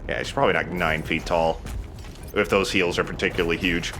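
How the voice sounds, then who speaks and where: Guy doing casual game commentary, bright lowish voice, self-deprecating, some noise behind him. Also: fairly even intonation, a little fast. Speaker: let's play host playing the game. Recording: clear background noise. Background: game sound.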